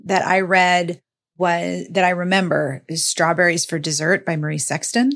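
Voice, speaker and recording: A woman podcast host with a voice a bit lower than most chats casually about books. She is playful and self-deprecating, joking as she goes in an easy conversational style. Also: little pitch movement, moderate pace, a bit bright. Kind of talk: casual, joking book chat.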